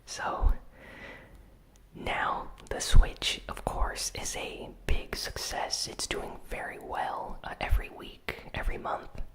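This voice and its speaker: A host giving a whispered ASMR recap of gaming news, in a soft unvoiced whisper with relaxed, casual asides. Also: poor audio. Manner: unhurried, with frequent "you know" and "uh" fillers.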